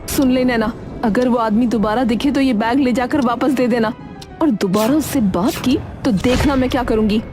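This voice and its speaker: A woman's voice: a little high, moderate pace, over music, voice pushed up, lightly acted. A woman voicing a poor, strict, worried village mother in a cartoon moral story, firm and plain-spoken. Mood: angry, scolding.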